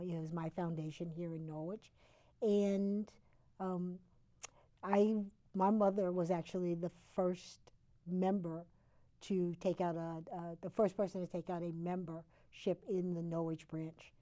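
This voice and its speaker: Low, mellow woman telling history haltingly. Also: little pitch movement. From Connecticut, US. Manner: halting, with frequent fillers and self-corrections. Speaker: talk show guest. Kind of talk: conversational history explanation.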